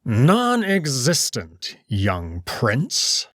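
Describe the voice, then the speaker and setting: A man's voice: medium pitch, wildly swooping pitch, extremely slow, a bit bright, no room sound, clean studio sound, full character voice. A man voicing a stern old blind wolf in an audio drama, with a cold, clipped, ominous manner. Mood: stern, demanding.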